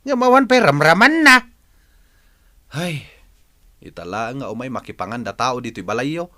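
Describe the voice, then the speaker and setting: A man's voice: mid-range voice, sing-song, normal speed, sharp tone. An actor playing an exasperated, scolding man of a rural household in an Ilocano radio drama, grumbling and exclaiming.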